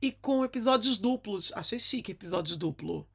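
A Brazilian man with a cold, his voice hoarse and full of gravel. He co-hosts a podcast with a wry, camp drawl and a dry sense of humor. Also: sits high, expressive, moderate pace, a bit bright. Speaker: podcast co-host. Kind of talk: campy casual chat.

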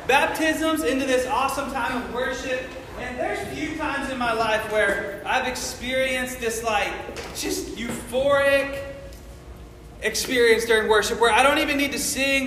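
A man who is a pastor preaching casually and praying with a fervent, repeated "Father God" cadence, in a loud and excitable voice. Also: extremely high pitch. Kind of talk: sermon and prayer. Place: large echoey church hall. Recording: echoey hall, faint room noise.

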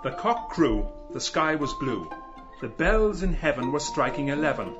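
A young, nervous male schoolteacher, as a male reader plays him, fires off brisk, clipped questions. His voice rises and falls a lot, and music plays under a dull, muffled recording. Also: a little high, very animated, voice pushed up. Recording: muffled audiobook with music beneath.

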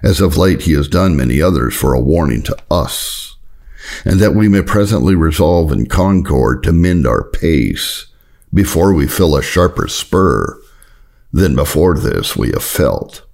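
A man reading an old sermon aloud as an audiobook narrator, in a solemn, earnest, measured and formal way. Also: rumbling low, lively intonation, gravelly, darkish tone. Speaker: audiobook narrator of Puritan writings.

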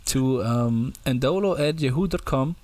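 A man's voice: medium pitch, animated, normal speed, a little mellow. A young man with a German accent, co-hosting a podcast in casual, joking chat, often restarting his sentences. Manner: joking, hesitant with many restarts. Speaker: podcast co-host.

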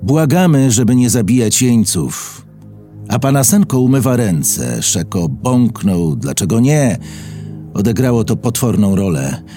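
A man's voice: medium pitch, big pitch swings, a little slow, warm tone. A man giving a dramatic reading of a historical diary in terse, grave phrases. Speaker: reader of historical texts. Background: faint music.

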